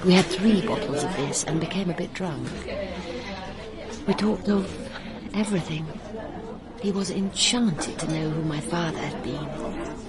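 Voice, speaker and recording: An actress playing a cultured, candid young woman in a British radio drama, speaking and narrating in a wistful, measured way. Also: on the low side, a loud crowd, lightly acted. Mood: wistful recollection. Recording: radio drama with clear background noise.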